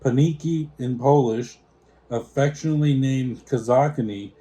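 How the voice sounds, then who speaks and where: A man's voice: medium pitch, moderate pace, dark tone. A man hosting a casual snack taste-test, reading out product notes and stumbling over foreign names. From United States.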